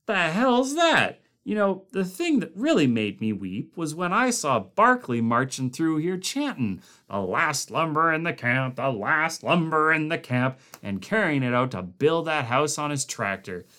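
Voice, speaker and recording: A man voicing a grumbling middle-aged camp cook who complains loudly and bluntly. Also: on the high side, very animated, measured pace, hardly any pauses, voice pushed up, full character voice. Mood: grousing. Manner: loud, complaining, blustery.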